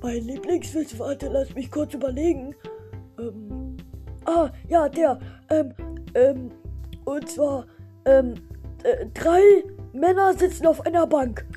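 Woman doing a little cactus, silly, giggly, high voice, slow talker, faint music behind. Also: warm tone. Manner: giggles a lot, hesitant, drawn-out filler sounds. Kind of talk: podcast interview guest, playful improvisation.

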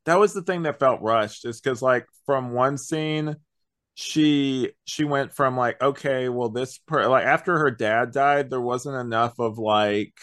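A man's voice: medium pitch, a little slow, fairly bright tone. A man co-hosting a podcast, chatting casually in a rambling, opinionated way and often quoting his own thoughts. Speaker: podcast co-host reviewing an audio drama. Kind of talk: casual chat.

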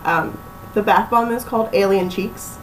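A young woman from Pennsylvania, chatting casually as a small business owner in an interview, relaxed and full of ums. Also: mid-range voice, moderate pace, far from the mic, slight background noise, rough recording. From Pennsylvania, USA. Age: young adult. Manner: relaxed, chatty, laughs easily, many ums.

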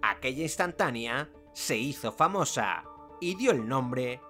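A man narrating a sports documentary in a measured, dramatic scripted style. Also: medium pitch, lively intonation, a bit bright. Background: music.

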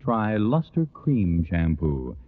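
An American man reading scripted 1940s radio commercials in a husky voice. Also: lowish voice, very animated, slow pace. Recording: tinny, narrow old radio recording.

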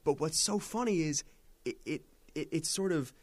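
A man's voice: fairly high pitch, normal speed, no room sound. Sniffly young man rambling with restarts. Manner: animated, stammering restarts, tangents. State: stuffy with a cold, sniffling. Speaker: podcast guest. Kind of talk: casual chat.

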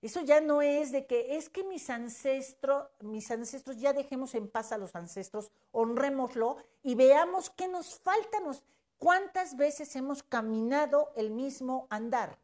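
A woman's voice: high-pitched, expressive, a little slow, a little mellow. A Mexican woman in her fifties giving an emphatic, preachy motivational talk on social media, with casual swearing. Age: 50s.

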